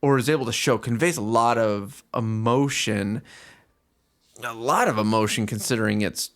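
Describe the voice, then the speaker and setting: A man's voice: medium pitch, moderate pace, fairly bright tone. A man co-hosting a podcast in casual chat, talking in a jokey, colloquial way. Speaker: podcast co-host. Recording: music behind the voice.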